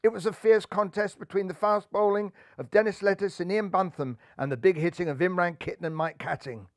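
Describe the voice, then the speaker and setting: A man's voice: high voice, a little fast, no room sound, studio quality. A man narrating a children's animal story aloud in a playful, theatrical way.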